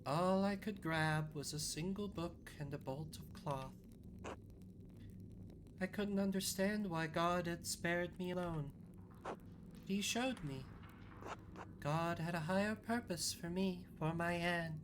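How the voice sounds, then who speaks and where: A performer voicing a devout visionary nun, a gentle, bewildered old woman who speaks in a solemn, reverent, measured way over music. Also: medium pitch, noisy background, natural voice. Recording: music under the voice.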